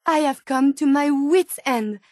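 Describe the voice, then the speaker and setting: An actress voicing a gentle, earnest female robot scientist turned human in a video game, with a French accent and formal, precise phrasing. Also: sits high, measured pace, dry recording, clean studio sound. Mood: hurt, upset. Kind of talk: video game character dialogue.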